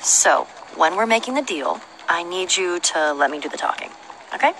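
A woman's voice: lowish voice, lively intonation, moderate pace, fairly bright tone, a little hiss or hum, rough recording. A woman voicing a tough, confident young female adventurer in a video game, with a dry, teasing, self-assured manner. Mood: bossy, wry. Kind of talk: animated game dialogue.

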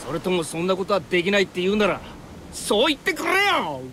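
A man's voice: high voice, sing-song, quick pace, bright, forceful tone, slight background noise, exaggerated voice. An actor playing an easygoing but desperate young male fighter from a video game, with a casual, slangy, pleading way of talking. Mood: frustrated. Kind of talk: anime video game voice acting. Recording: background music under the voice.

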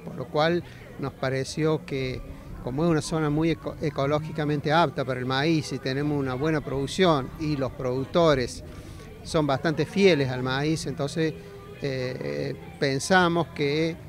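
A middle-aged man with an Argentine accent answering interview questions outdoors into a handheld mic, with halting, hesitant phrasing. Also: on the high side, steady tone. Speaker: agronomy faculty representative being interviewed. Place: outdoors at a busy fairground. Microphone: handheld interview microphone.

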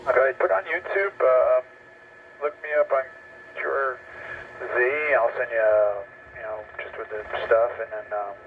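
A man's voice: mid-range voice, unhurried. A man, a helicopter pilot, chatting casually over two-way ham radio. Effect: two-way radio transmission. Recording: muffled, noisy UHF radio from helicopter.

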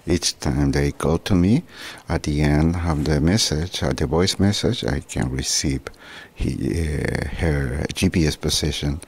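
Slow, low-voiced Chilean man. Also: a little mellow, husky. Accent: Chilean Spanish. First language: Spanish. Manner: halting, with many pauses. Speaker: amateur radio operator and vlogger. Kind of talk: informal narrated radio demonstration. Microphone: handheld camera's built-in microphone. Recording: noisy handheld camera audio.

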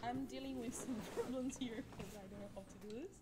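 A young woman in her twenties records a friendly, slightly nervous greeting into her cell phone. Her voice sits a little high, and she slips in small laughs. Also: brisk, background noise. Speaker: duet partner.

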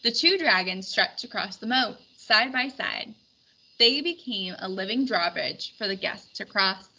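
Bright-voiced woman reading a storybook. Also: medium pitch, animated, measured pace, breathy edge. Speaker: storybook reader. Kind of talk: expressive scripted read-aloud narration. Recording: dull recording with faint background noise.

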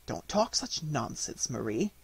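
A man's voice: mid-range voice, very animated, unhurried, vocal fry, slightly rough, exaggerated voice. A man voicing a scolding, anxious mother in a storybook, with a fussing, reproachful manner.

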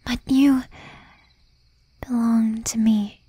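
Lady acting as a jealous demon girlfriend in asmr roleplay, super breathy whisper, really slow, sweet but menacing. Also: on the high side, steady tone, warm tone, no echo, full character voice. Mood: possessive, jealous. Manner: slow, intimate, with pointed pauses.

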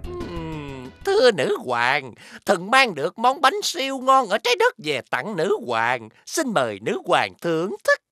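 A woman's voice: medium pitch, wildly swooping pitch, measured pace, few pauses, fairly bright tone, no echo, soft background music. A woman with a Southern Vietnamese accent voicing a sly, servile mantis henchman in a children's cartoon. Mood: fawning.

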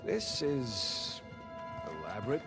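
A man's voice: medium pitch, big pitch swings, slow, creaky, over music, full character voice. An actor playing an eager, curious male treasure hunter in a video game cutscene, full of questions.

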